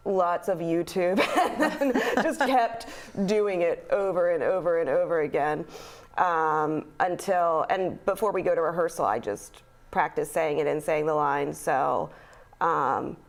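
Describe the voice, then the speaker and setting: A woman's voice: low-pitched, a little slow. A young woman, a stage actor, chatting casually in an interview with lots of fillers and light laughs. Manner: chatty, many fillers, laughs while talking. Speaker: actor in a community theater play.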